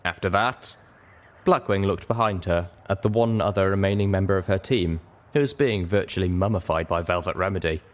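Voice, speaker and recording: Low-voiced man narrating a story. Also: brisk. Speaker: reader of fan fiction. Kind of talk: dramatic first-person story narration.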